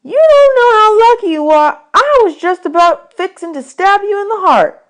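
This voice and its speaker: Woman voicing an excitable, high-pitched young orphan boy. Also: normal speed. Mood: startled, scolding. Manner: folksy, slangy way of talking. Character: ten-year-old orphan boy, earnest, curious, excitable.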